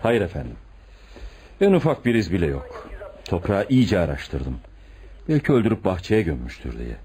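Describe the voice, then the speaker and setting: A man's voice: low-pitched, sing-song, normal speed, mellow. An actor playing a suspicious, stubborn police detective in a radio play, blunt and insistent with his superior. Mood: reporting. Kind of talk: radio theatre acting. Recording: faintly noisy radio drama recording.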